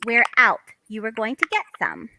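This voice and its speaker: A woman's voice: a little high, wildly swooping pitch, moderate pace, creaky, heavy background noise, exaggerated voice. A woman playing a dreamy, romantic young wife with an earnest manner in a table read of a play. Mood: anxious, practical.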